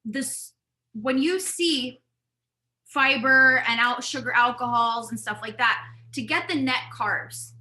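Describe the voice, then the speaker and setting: Talkative lady vlogger, kinda hoarse from losing her voice, bright and a bit high. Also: low-quality recording. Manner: rambling, talkative, animated asides. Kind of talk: casual chatty product review.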